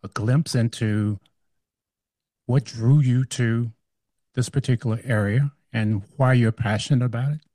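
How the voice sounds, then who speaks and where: Male interviewer, medium pitch, soft dark tone, close dry sound, conversational questioning. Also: measured pace, no room sound.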